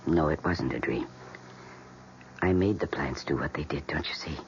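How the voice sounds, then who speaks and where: Fragile American housewife, young, obsessive and intense, classic radio drama, dull faintly hissy recording. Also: female voice, very deep voice, moderate pace. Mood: insistent, agitated. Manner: intense, dramatic, breathy emphasis. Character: fragile, obsessive housewife confessing her killer plants' deed.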